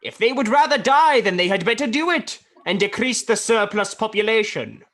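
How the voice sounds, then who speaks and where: A man's voice: high voice, lively intonation, moderate pace, a bit bright. A man acting in a radio play as a miserly, cold-hearted old businessman, curt, sarcastic and disdainful.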